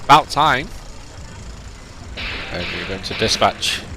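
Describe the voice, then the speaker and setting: A man's voice: fairly low pitch, lively intonation, slow pace, pushed and bright, a little hiss or hum. A young man from northern England with a Lancashire accent, streaming a ride simulator game and chatting casually with viewers, sounding a little nasal from a cold. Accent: northern English, Lancashire. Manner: chatty and rambling, reads chat aloud.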